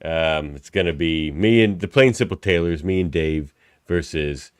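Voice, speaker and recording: Low, smooth-voiced man, dry close sound. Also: moderate pace, no echo. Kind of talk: casual group chat.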